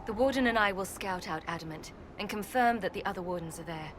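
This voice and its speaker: An actress playing a seasoned woman warrior who speaks in a dry, grim, measured way. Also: medium pitch, noisy background, close to an everyday voice.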